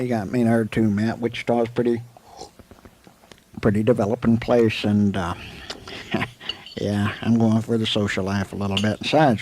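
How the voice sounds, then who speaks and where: A man playing a folksy, jokey, aging frontier town doctor in a western radio drama, with a rambling, stammering delivery and a gravelly voice. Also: on the low side, a little mellow. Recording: faint noise, old 1984 amateur recording.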